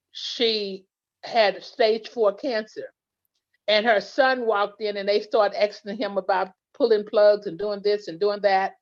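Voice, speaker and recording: A woman, a guest in an interview, telling earnest stories with many restarts. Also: mid-range voice. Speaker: guest, legal plan representative. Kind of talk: interview conversation. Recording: dull lo-fi sound.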